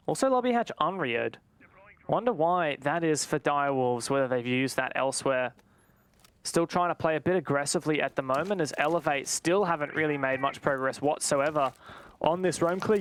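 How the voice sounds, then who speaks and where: A man's voice: fairly high pitch, slight background noise. A man giving live play-by-play commentary on an esports match, with vivid, dramatic phrasing.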